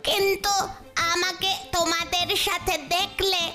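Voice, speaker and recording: A worried little animal from a children's cartoon. The voice is very high and drawn out slowly, with a fearful air. Loud music sits behind it. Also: flat delivery.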